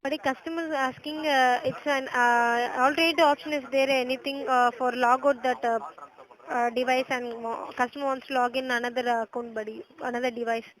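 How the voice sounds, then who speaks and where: A woman's voice: sits high, steady tone, very slow, a bit bright, low-quality recording. A woman working as a customer support agent on a call, speaking politely and a little hesitantly. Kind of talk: phone customer service talk.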